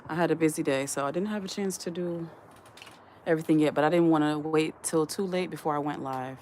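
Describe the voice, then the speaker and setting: Low-voiced woman chatting on a livestream. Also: fairly quick, a little mellow, a little hiss or hum. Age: adult. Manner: reads viewer comments aloud and answers them; earnest, advising tone. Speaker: livestream host. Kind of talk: casual live-stream chat.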